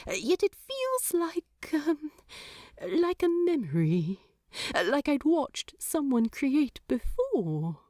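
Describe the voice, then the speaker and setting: A woman's voice: high voice, huge pitch swings, takes it slowly, a little mellow, no room sound, clean studio sound, voice pushed up, full character voice. A woman voicing a flustered, fussy male angel who stammers in a raised, breathless voice.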